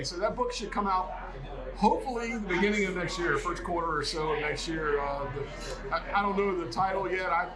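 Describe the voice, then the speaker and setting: A man from North Carolina chatting informally as an interview guest, enthusiastic and a bit rambling. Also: high voice. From North Carolina, United States. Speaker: guest, radio hobbyist. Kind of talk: casual on-camera interview chat. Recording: roomy echo with music behind.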